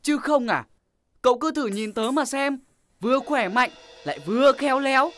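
A woman's voice: high-pitched, moderate pace, fairly bright tone, dry recording. A woman voicing a boastful, burly kid in a children's cartoon, teasing and cocky.

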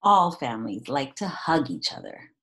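A woman's voice: rumbling low, very animated, moderate pace, fairly bright tone, slight background noise. A woman in her thirties speaking as a teacher to young children, reading a picture book aloud and talking about it in simple phrases. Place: small indoor room at home.

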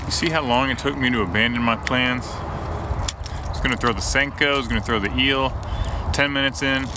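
A man in his thirties casually narrating his fishing trip outdoors to an action camera, relaxed and chatty. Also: medium pitch, moderate pace. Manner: relaxed, talks to camera, excited when landing a fish. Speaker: fishing vlogger. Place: outdoors by a canal on rocks. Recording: outdoor camera audio with background noise.

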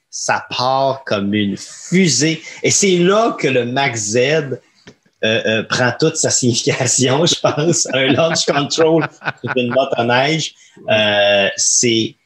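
A man's voice: medium pitch, a bit bright. A man in his thirties with a Quebec French accent, hosting a casual podcast in a colloquial, enthusiastic way on a close microphone. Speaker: podcast host. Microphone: close studio microphone on arm, headphones.

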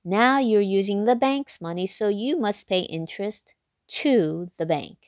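A woman reads a children's picture book aloud in a lively storybook manner, her voice jumping up with excitement at the big moments. Her voice sits in a middle register. The sound is close and dry, with no room echo. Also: measured pace. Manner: expressive storybook reading with big excited pitch jumps. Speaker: read-aloud host.

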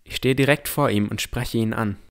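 A man's voice: mid-range voice, fairly even intonation, moderate pace, creaky. A man reading scripted first-person narration as the narrator of a fantasy audio drama.

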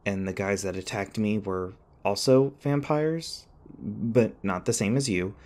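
A man playing an anxious, sarcastic young college student in an audio roleplay, rambling and halting in a wry, flustered way. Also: on the low side, darkish tone, natural voice. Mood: incredulous. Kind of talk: audio roleplay acting, one-sided dialogue.

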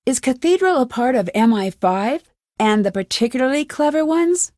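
A woman's voice: sits high, lively intonation, normal speed, darkish tone, dry recording, clean studio sound. An actress voicing a determined, inquisitive young woman detective with an American accent in a video game, earnest and questioning. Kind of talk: video game voice acting, isolated dialogue lines.

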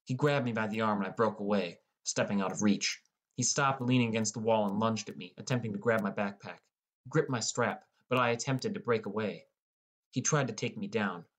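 A man with a fairly low voice narrates a first-person story. It is scripted audiobook reading. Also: fairly quick.

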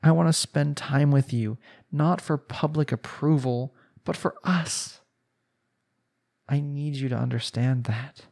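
A man's voice: on the high side, measured pace, gravelly, a little mellow. Man acting a lovestruck, earnest young husband. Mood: earnest, yearning. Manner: earnest, pleading, sighing delivery. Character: jealous, lovestruck young husband pleading with his spouse. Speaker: audio roleplay voice actor. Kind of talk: intimate romantic audio roleplay, one-sided dialogue.

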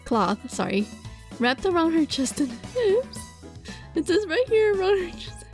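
A woman playing a visual novel, reading it aloud dramatically and reacting casually in an animated, playfully exasperated way, sometimes stumbling over words. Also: very high voice, unhurried, faint music behind.

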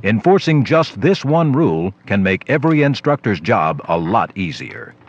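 A man narrates an instructional film in a low-pitched voice with very lively intonation. His scripted delivery comes through a dull old videotape with faint noise. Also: moderate pace.

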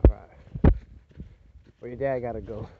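A man's voice: medium pitch, steady tone, a little slow, narrow, tinny audio. A man and father narrating casually as he hikes a wooded mountain trail, chatty and pointing out sights. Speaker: father filming a hike. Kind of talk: casual vlog narration while hiking. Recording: outdoor camera audio with clear background noise.

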